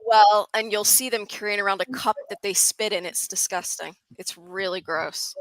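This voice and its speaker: A woman's voice: medium pitch, moderate pace, no room sound, rough recording. A woman chatting casually as a guest on a live stream panel, explaining things in a blunt way.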